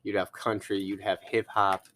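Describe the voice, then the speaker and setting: A man's voice: lowish voice, flat delivery, normal speed, a bit bright. Young male podcast host, casual and rambling, studio chat. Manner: laid-back, rambling with fillers. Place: recording studio.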